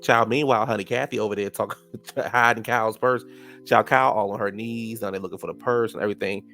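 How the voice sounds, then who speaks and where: A man with a low, gravelly voice talks through a reality TV recap in a sassy, gossipy way. His nose is stuffed up. Faint music plays behind him. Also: fairly even intonation, fast talker, a bit bright. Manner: sassy and chatty, calls people "child" and "honey". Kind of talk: casual recap commentary.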